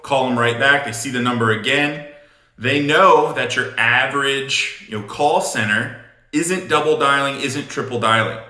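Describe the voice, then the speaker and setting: Guy coaching sales, bright punchy voice, blunt and informal, repeats stuff for emphasis, roomy echo. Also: medium pitch, normal speed. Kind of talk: instructional talk on phone sales technique. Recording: roomy, echoing room sound.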